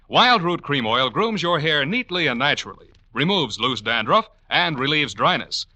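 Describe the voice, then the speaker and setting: Very bright, animated 1940s radio announcer man. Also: mid-range voice, no echo. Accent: American. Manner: emphatic, polished, salesmanlike.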